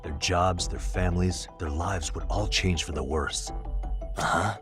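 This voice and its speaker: A man playing a serious, steady, plain-spoken detective in an English game dub. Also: low voice, unhurried. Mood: grave, thoughtful. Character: serious, steady male detective working a case. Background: background music.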